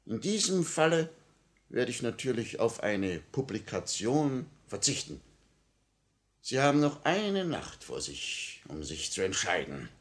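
Suave official villain, 1950 radio drama. Unhurried, animated intonation, silky menace. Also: male voice, mid-range voice. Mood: coolly threatening. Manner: suave, mocking politeness. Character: menacing, smugly courteous police minister, patient manipulator. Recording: vintage 1950 radio recording.

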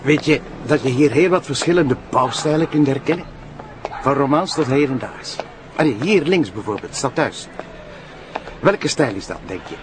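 A male actor plays a patient, cultured Flemish police sidekick in a radio drama. His wit is dry and his pitch average, with noticeable ambient noise around him. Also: animated, moderate pace, close to an everyday voice. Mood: cultured, calm. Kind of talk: naturalistic radio drama acting. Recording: noisy ambient background.

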